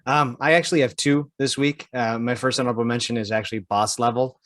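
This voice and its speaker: A man's voice: mid-range voice, fairly even intonation, fast, fairly bright tone, dry recording. Man chatting on a very dry podcast mic. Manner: chatty, informal. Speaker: podcast co-host. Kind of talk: casual podcast chat.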